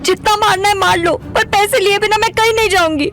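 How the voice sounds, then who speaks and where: A young woman as a distressed but stubborn daughter in a melodrama. Her voice is high and full of earnest emotion, with soft music underneath. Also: fairly even intonation, brisk. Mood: defiant. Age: young adult. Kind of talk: melodramatic Hindi audio drama acting.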